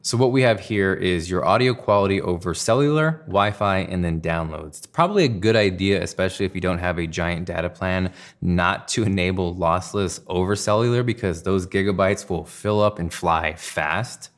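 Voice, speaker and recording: A man's voice: low voice, moderate pace, hardly any pauses. A man in his thirties presenting a tech review to camera with an enthusiastic, emphatic delivery. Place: indoor studio room at a desk.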